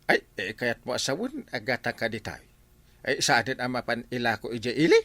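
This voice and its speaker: Man playing an excitable, swooping village captain. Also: mid-range voice, normal speed, no room sound. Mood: eager, folksy. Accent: Ilocano Filipino. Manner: exclamatory, many interjections. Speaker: actor in a comedy drama. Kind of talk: Ilocano barangay comedy drama dialogue.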